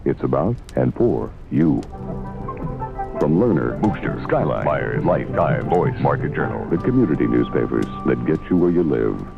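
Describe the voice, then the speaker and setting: A man's voice: rumbling low, sing-song, normal speed, creaky, music in the background. A man reading a scripted radio advertisement as announcer, in clipped, punchy phrases. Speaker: commercial announcer. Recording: tinny old radio advert.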